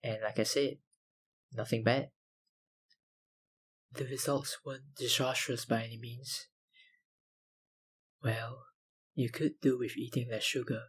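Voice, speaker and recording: A man playing an obsessive, menacingly polite vampire researcher in an intimate ASMR roleplay, with deliberate, insinuating phrasing and a breathy voice that often drops to a whisper. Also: medium pitch, heavily acted, poor audio. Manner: slow, deliberate, politely insinuating, with sighs and pauses.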